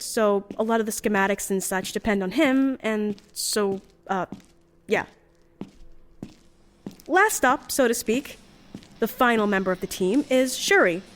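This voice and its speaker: A woman's voice: medium pitch, natural voice. A woman playing an earnest, upbeat team organizer in an audio drama, making casual, slightly hesitant recorded introductions.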